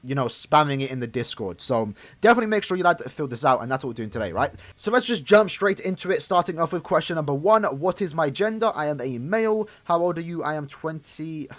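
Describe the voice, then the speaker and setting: Fast-talking man in his twenties. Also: a little high, animated, fairly bright tone. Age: 20s. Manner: chatty and rambling, addresses viewers as lads. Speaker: gaming YouTuber. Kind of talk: casual gameplay commentary.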